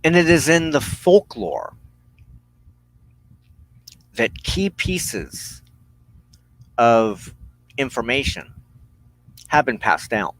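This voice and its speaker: A man hosting a spiritual broadcast, giving a reflective, unscripted monologue in an earnest, halting way with emphatic phrasing and pauses. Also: medium pitch, sing-song, a bit bright, low-quality recording. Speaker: host of a weekly spiritual broadcast.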